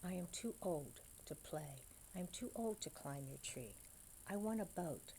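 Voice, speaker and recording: Woman reading as a weary, slow old man. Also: fairly low pitch, animated, warm tone, a little hiss or hum. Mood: wistful, wanting. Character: tired, wanting boy grown into an old man.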